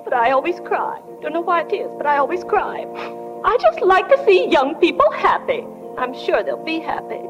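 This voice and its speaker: A woman's voice: extremely high pitch, big pitch swings, moderate pace, over music, poor audio. A woman playing a gushing, sentimental, chatty wedding guest who repeats herself, in old-time radio drama style. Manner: effusive, chatty, repetitive.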